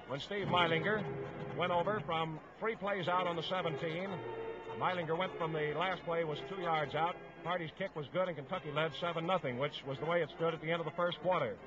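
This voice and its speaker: Man, high voice, 1950s football play-by-play, staccato phrases, muffled old radio sound, noisy. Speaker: play-by-play sports announcer. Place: stadium broadcast booth.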